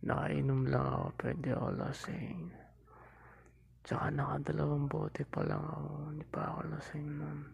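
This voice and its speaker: Drunk young Filipino boyfriend , played by a man, ASMR roleplay. Very gravelly, breathy half-whisper, drawling, playful and clingy. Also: fairly high pitch, flat delivery, moderate pace, full character voice. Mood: stubborn, tipsy. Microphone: close lapel mic into a mobile phone.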